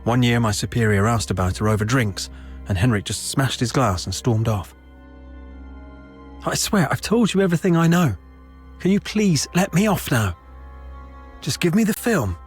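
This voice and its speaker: A cornered, gossipy informant from a video game , acted by a man, whiny and blustering. The pitch swings widely from a middle register, and music plays along. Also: lively intonation, normal speed, pauses often, natural voice. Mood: pleading, annoyed. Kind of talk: video game cutscene voice acting.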